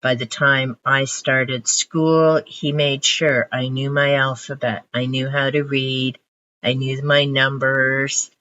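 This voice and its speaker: Older woman book vlogger, Hungarian first language, unhurried reflective delivery, lo-fi sound. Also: rumbling low, flat delivery, a bit bright. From Canada. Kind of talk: casual book talk to camera. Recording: muffled lo-fi audio.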